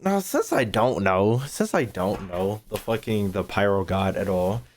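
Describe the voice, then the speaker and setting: A man's voice: on the low side, expressive, a little slow, slight background noise. A man giving casual, slangy gaming commentary as a streamer, swearing freely and repeating phrases as he thinks aloud. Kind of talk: casual reaction commentary to a live chat.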